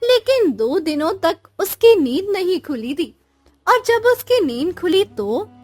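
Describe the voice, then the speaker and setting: A woman narrates a scripted moral tale. Her voice is high and her pace is slow and measured. Soft music plays behind her. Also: big pitch swings.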